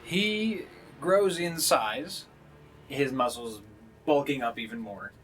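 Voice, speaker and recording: A man's voice: medium pitch, takes it slowly, a bit bright. A man working as game master, narrating a tabletop game in a conversational way. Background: music.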